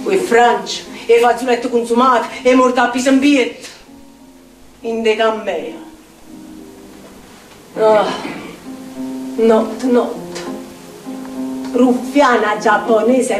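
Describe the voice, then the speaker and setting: An actress playing a tormented street outcast in a theatrical monologue, speaking incantatory, repetitive lines with a Neapolitan accent. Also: female voice, medium pitch, lightly acted. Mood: brooding invocation. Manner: incantatory, dramatic, repetitive phrasing. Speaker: stage actress. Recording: echoey distant stage sound with music. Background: plucked guitar music.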